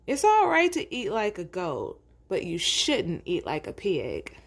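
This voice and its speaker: A mother goat from a children's storybook, acted by a woman. She is fussy yet reasonable, with a parental, gently instructive way of talking. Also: on the low side, wildly swooping pitch, measured pace, husky, heavily acted. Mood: reasoning.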